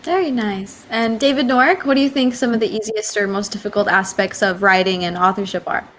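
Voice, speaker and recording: A woman's voice: medium pitch, a little fast, a bit bright, a little hiss or hum. A woman hosting an author panel, talking in an informal, wordy way and often saying "like". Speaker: panel host. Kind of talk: casual interview hosting.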